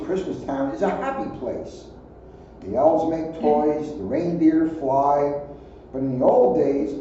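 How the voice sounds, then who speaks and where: A man's voice: on the high side, mellow. A man reading a children's storybook aloud, adding his own offhand asides. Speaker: police officer reading aloud. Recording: muffled, very echoey, distant, faint noise.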